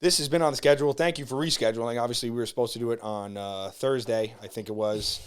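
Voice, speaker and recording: Male host, 30s, mid-range voice, excitable and gushing, studio podcast. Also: medium pitch, quick pace, smooth. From New Jersey shore. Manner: hyped, enthusiastic, talks in superlatives. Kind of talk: casual podcast chat.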